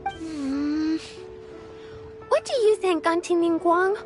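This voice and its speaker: Very high-voiced innocent little girl. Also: slow, faint music behind. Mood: unsure. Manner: childlike, hesitant. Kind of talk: video game voice acting.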